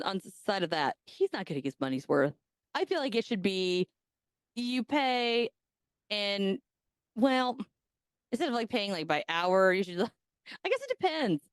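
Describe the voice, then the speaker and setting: A woman's voice: medium pitch, dry recording, clean studio sound. A woman co-hosting a podcast, telling a story in casual chat and cracking crude jokes with a laugh. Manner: bawdy, joking, laughs often. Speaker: podcast co-host.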